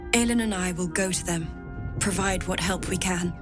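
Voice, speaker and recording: Woman playing gentle loyal companion, fantasy game. Composed, earnest, slightly low voice, music clearly behind. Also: moderate pace, natural voice. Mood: earnest resolve.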